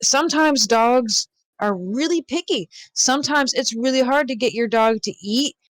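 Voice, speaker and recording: A woman's voice: a little high, moderate pace, no room sound. A woman chatting casually as a guest, explaining things in an informal way. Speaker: talk show guest, dog expert. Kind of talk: casual chat.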